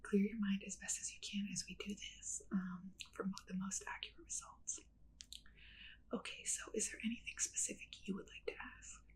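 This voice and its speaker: Soft whispering woman, close to the mic. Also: mid-range voice, level tone, moderate pace, low-quality recording. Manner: soft unvoiced whisper, gentle and reassuring, frequent "um". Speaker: ASMR roleplay host as a witch reader. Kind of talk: whispered ASMR roleplay.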